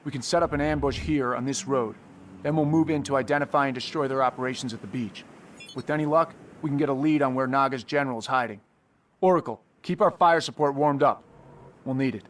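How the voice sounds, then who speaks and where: An actor playing a confident special forces commander who gives clipped, matter-of-fact orders in a video game cutscene. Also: male voice, medium pitch, fairly quick, slight background noise, lightly acted. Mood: urgent, decisive. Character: decisive male special forces squad commander, terse, confident. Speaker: military squad commander. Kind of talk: video game cutscene acting, mission briefing.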